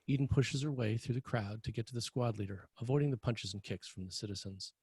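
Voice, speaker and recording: A man narrating scene directions for a screenplay table read in a scripted style, with a husky voice. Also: mid-range voice, quick pace, darkish tone, dry recording. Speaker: narrator reading stage directions.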